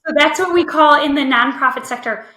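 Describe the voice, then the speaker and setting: A woman's voice: high voice, fairly quick, sharp tone. A woman guest on a podcast, explaining things conversationally and often checking in with the listener. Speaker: podcast guest, nonprofit communications expert. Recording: roomy, echoey voice track.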